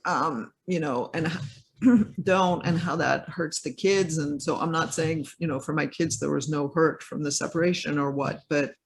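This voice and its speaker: A man's voice: fairly high pitch, steady tone, moderate pace, creaky, slight background noise. An interview guest talking casually from his home, hesitant, with many fillers and restarts. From Belgium or United States. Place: home in a French village.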